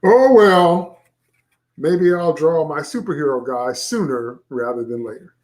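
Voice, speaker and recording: A man's voice: a little high, animated, a little slow, darkish tone, echoey room. Man reading as a wry, chatty, geeky twelve-year-old boy. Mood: musing, geeky. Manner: chatty, wry, rambling inner monologue. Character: smart-mouthed, geeky sixth-grade boy musing to himself. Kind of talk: first-person children's novel read aloud.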